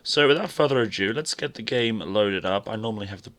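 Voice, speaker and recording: A young man with a hoarse voice, chatting casually and self-deprecatingly as he opens his game stream. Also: fairly low pitch, expressive, fairly quick, fairly bright tone. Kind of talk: casual live-stream chat.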